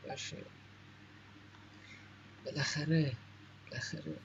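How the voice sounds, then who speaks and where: A man's voice: on the high side, very animated, quick pace, highs cut off, background noise. A man reacting casually in short bursts as he plays a video game. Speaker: gaming streamer. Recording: loud game music behind voice. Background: video game audio and music.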